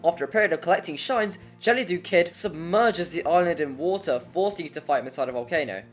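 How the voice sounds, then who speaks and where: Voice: medium pitch, quick pace. A narrator reading a scripted video essay in an informal, jokey way with rambling casual asides. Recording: music under the voice. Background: background music.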